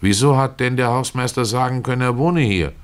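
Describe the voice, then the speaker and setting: A man's voice: mid-range voice, brisk, voice pushed up, close to an everyday voice. A man voicing a small, shabby, grumbling male intruder in a literary story.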